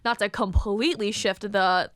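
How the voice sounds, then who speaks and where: A woman's voice: mid-range voice, steady tone, moderate pace, fairly bright tone, dry recording. A woman hosting a podcast in casual conversation, talking enthusiastically and affirming her guest. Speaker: podcast host. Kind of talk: casual chat, interviewing.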